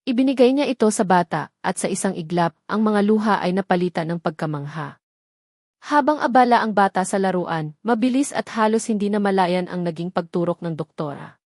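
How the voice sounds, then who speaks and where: A woman's voice: medium pitch, a little mellow, dry recording. Woman narrating a romance story, very dry sound.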